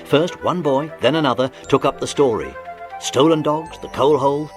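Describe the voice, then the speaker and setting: A man's voice: fairly high pitch, moderate pace. A man narrating a children's story in scripted storytelling style. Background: music.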